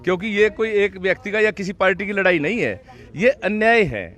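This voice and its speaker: A man addresses a protest crowd and then a reporter with earnest, formal appeals. His voice is high for a man. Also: lively intonation, fairly quick, a bit bright, faint music behind. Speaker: protest speaker. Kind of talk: public address and interview.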